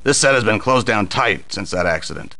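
Low-pitched, brusque studio boss. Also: male voice, animated, a little fast, bright, forceful tone. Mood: busy, matter-of-fact. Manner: brusque, businesslike, dismissive. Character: gruff, harried, impatient male TV studio boss. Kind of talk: video game dialogue.

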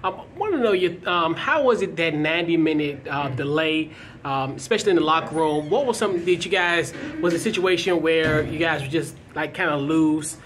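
A man's voice: fairly high pitch, animated, moderate pace, a bit bright. A man interviewing after a match, asking long rambling questions with many fillers. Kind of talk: post-game press questions.

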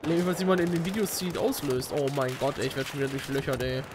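Higher-voiced man, casual gamer. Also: quick pace, background noise. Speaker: video game commentator. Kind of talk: casual gaming chat with a co-player. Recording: faint game gunfire behind voice. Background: video game gunfire and ambience.

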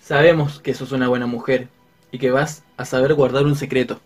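An actor playing the discreet adult son of a ranch family in a radio drama, with a Rioplatense accent. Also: male voice, mid-range voice, steady tone, a little fast, soft background music, lightly acted. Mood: guarded. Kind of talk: radio soap opera acting.

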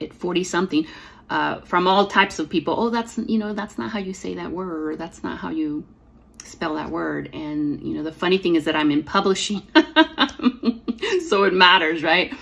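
A woman in her forties talks earnestly to a camera in a small room at home. Her voice sits deep and carries a gravelly edge, and a slight lisp colors her words. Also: fairly bright tone. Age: 40s. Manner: reflective, earnest, with fillers and restarts. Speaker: poet and author. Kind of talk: personal storytelling to camera. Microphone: device camera at close framing.

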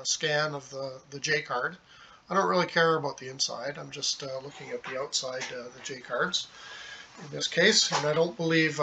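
A middle-aged man giving a casual, unscripted how-to explanation, halting and thinking aloud as he goes. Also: on the high side, creaky. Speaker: hobbyist host of a DIY tutorial. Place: small indoor home workshop at a desk, later at a computer.

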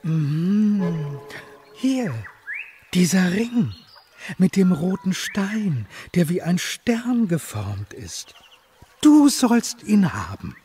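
A little dwarf king from a fairy-tale radio play. He is kindly and solemn, drawing his words out slowly with big swings in pitch. Faint music plays behind him. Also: big pitch swings, mellow, heavily acted. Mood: mysterious, generous. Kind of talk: German fairy-tale audio drama.

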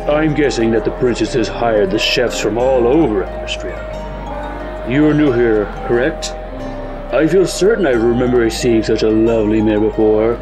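A man plays a gentlemanly cartoon pony stallion. He is suave and courtly, with a flirtatious politeness and a mid-pitched voice, music playing behind him. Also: a little slow, close to an everyday voice. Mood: charming. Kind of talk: animated fan series voice acting.

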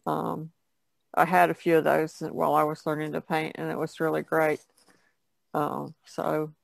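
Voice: medium pitch, monotone, measured pace, vocal fry, no echo. A guest painter chatting casually on a podcast. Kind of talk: casual chat about painting.

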